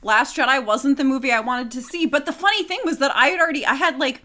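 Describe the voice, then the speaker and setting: A woman co-hosting a podcast in casual chat, teasing and quick to laugh. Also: sits high. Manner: teasing, lively, laughs easily. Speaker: podcast co-host.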